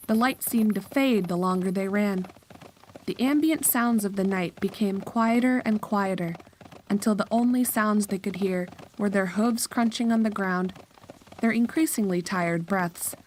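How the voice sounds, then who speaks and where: A woman's voice: mid-range voice, a little hiss or hum, low-quality recording. Woman narrating a scripted horror story.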